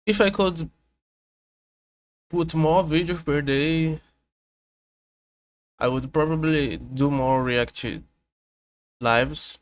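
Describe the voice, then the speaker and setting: A man's voice: a little high, fairly even intonation. A man, a vtuber talking casually to his stream, thinking aloud with many restarts. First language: Portuguese. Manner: halting, self-correcting, thinking aloud. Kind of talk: casual reaction stream chat. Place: home room with air conditioner hum.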